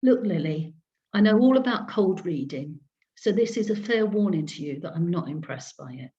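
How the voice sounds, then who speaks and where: A woman's voice: fairly low pitch, animated, moderate pace, warm tone, close to an everyday voice. A woman storyteller voicing a prickly, exasperated male sceptic in a ghost story, over a video call. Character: prickly, exasperated sceptic named Max, a man. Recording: dull lo-fi video call audio.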